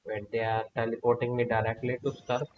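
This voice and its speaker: A man's voice: mid-range voice, level tone, a little fast, darkish tone, slight background noise. A man giving casual live-stream commentary, muttering reactions over the game he is playing. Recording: muffled lo-fi mic over game music. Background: game music.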